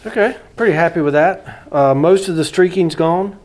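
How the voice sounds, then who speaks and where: A man's voice: fairly high pitch, normal speed, a little mellow. A man giving casual, plain-spoken commentary as a hobbyist restorer, thinking aloud while he works on a hands-on repair.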